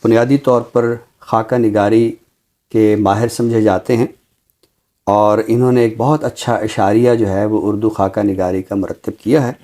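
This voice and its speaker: A man with a Pakistani accent presenting a literary book review in a measured, scholarly, deliberate way. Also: fairly low pitch, fairly even intonation, mellow. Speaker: literary guest presenter introducing books.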